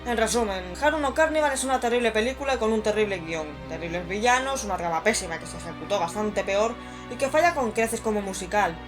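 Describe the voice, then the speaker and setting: Video reviewer: woman, Spain Spanish accent, informal critical delivery, mid-pitched, light music underneath. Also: fast talker. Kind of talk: scripted review narration.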